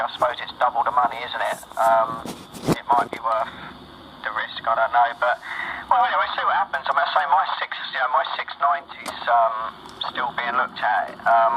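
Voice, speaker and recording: A British man rambling hesitantly in casual chat over two-way radio. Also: mid-range voice. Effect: two-way radio transmission. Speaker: amateur radio operator. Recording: noisy, muffled CB radio audio.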